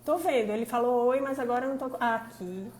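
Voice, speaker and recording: A woman with a Ceará accent hosts a casual live stream from her phone, talking in a chatty, lively way with many asides. Her voice sits a little high, with faint noise behind it. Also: fairly quick. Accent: Northeastern Brazilian (Ceará). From Fortaleza, Brazil. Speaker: host, labor prosecutor.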